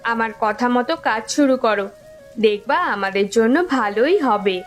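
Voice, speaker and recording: A woman's voice: on the high side, normal speed, soft background music, close to an everyday voice. A woman voicing a stubborn, resourceful young village wife in a cartoon, speaking insistently and defiantly. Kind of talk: Bengali village cartoon dubbing.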